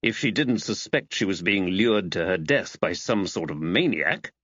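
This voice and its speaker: A man acting an inquisitive, lively-voiced male character. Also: low voice, normal speed, husky, no echo, lo-fi sound, heavily acted. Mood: speculative. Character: Max, an inquisitive, calmly speculating man. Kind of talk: audiobook character dialogue.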